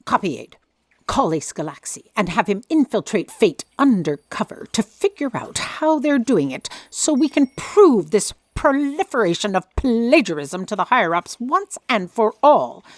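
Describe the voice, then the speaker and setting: A woman's voice: fairly high pitch, big pitch swings, normal speed, no room sound. A woman playing a haughty, indignant chief editor in a comic audio drama, pompous and commanding.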